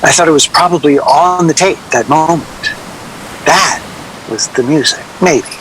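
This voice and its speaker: An actor playing a wistful, aggrieved man who reminisces in a reflective, measured way, as if interviewed for a radio drama. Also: a little high, a bit bright, slight background noise, rough recording. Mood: wistful, tender. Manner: reflective, measured, rhetorical questioning. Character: wistful, aggrieved man recalling a soured musical collaboration. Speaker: interview guest. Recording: music playing behind the voice. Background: music.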